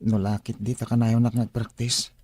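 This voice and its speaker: An actor playing a young man newly arrived at a school for the blind in a radio drama, with a husky voice. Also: mid-range voice, level tone, normal speed, a little mellow, no room sound, close to an everyday voice. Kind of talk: Ilocano radio drama acting.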